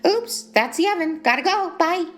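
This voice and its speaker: Woman acting a bubbly, flustered young woman, high-pitched. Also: normal speed, faint music behind. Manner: playful, excitable, speaking as if on phone.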